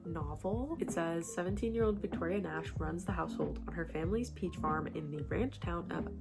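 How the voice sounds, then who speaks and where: A young woman talks through her reading list in a loose, rambling way, with lots of "like" and quick laughs, her voice pitched low. She sounds a little stuffed up from a cold. Light music plays under her voice the whole time. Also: creaky. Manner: chatty, filler-heavy, giggly asides. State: slightly congested from a cold. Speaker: book vlogger. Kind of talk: casual chat.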